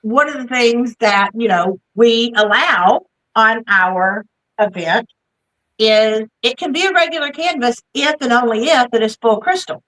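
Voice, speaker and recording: A woman's voice: a little high, measured pace, fairly bright tone, rough recording. Chatty Southern woman, rambling. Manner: chatty, rambling, says y'all and fixing to. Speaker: co-host of a craft livestream. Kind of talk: casual chat. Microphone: video call.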